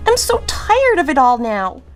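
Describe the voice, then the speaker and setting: A woman plays a worn-out grown daughter in an audio drama, with a high voice. She sounds fretful, pleading and exasperated over faint music. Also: very animated, unhurried. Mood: weary, embarrassed. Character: exhausted, exasperated grown daughter and young mother. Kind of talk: dramatic dialogue.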